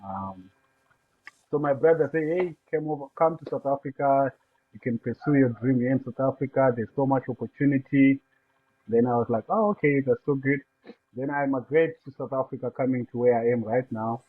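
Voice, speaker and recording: A man from Zimbabwe, a guest on a podcast, telling his life story in an unhurried, soft-spoken way. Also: medium pitch. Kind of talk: casual interview over a call. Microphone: remote call line. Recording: tinny phone-like call line.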